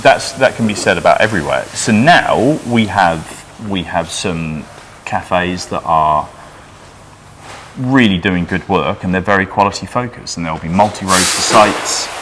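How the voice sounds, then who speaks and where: A man's voice: sits low, lively intonation, a little slow, a bit bright. A Welsh-born man who runs a coffee roastery, talking casually in an interview, dry and wry, often stopping and restarting. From Wales. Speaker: coffee roaster and business owner. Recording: noisy room ambience.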